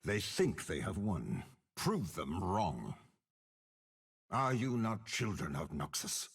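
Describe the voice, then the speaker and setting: An actor playing a cold, cunning old warlord general in a video game, gravelly and commanding, with measured, disdainful delivery. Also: male voice, low voice, sing-song, exaggerated voice. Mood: commanding, stern. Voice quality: gravelly, husky. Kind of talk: dramatic game character voice lines.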